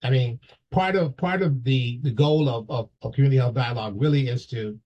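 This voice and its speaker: Male talk-show host, unhurried and halting, slightly husky, recorded on muffled lo-fi audio. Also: medium pitch. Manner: halting, many fillers and restarts. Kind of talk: casual interview.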